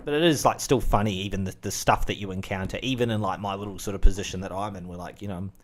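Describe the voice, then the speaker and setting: A man's voice: lowish voice, fairly even intonation, fast. A young man with a New Zealand accent, rambling through a casual monologue with lots of fillers and asides. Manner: chatty, full of fillers and asides. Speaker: vlogger.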